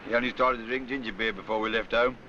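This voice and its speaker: A man's voice: medium pitch, fairly even intonation, fast, bright, forceful tone, close to an everyday voice. An actor playing an ordinary British soldier chatting with his comrades in a 1940s war film. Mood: dry, casual. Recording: very noisy muffled 1940s film soundtrack.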